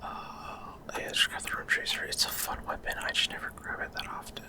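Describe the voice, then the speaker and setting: A man whispering ASMR video game commentary in a breathy, unvoiced voice, explaining things in short, hesitant, rambling phrases. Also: brisk. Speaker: gaming video creator.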